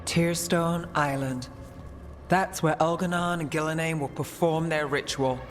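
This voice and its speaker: An actress playing a cool, world-weary, guarded detective in a video game, with dry, understated delivery. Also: female voice, deep voice, steady tone, a little slow, soft background music. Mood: serious.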